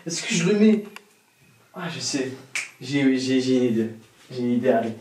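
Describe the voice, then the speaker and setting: A young man vlogging casually at home, excitable and chatty, talking straight to his viewers and laughing often. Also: mid-range voice, moderate pace, slight background noise. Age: young adult. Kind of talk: casual vlog to camera. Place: indoor bedroom at home. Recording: roomy echo, voice from off-mic.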